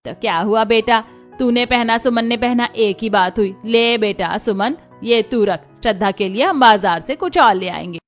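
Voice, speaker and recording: A woman's voice: on the high side, normal speed, lightly acted. A woman playing a scheming, bossy mother-in-law in a theatrical family story drama. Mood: falsely sweet. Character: scheming, commanding middle-aged mother-in-law feigning sweetness. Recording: music behind the voice.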